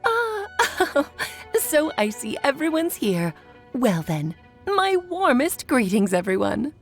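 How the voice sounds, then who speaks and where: A woman's voice: sits high, big pitch swings, takes it slowly, soft background music, heavily acted. A woman voicing an eccentric, playfully grandiose witch with a lofty manner in an anime-style video game. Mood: cheerful. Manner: theatrical, lofty.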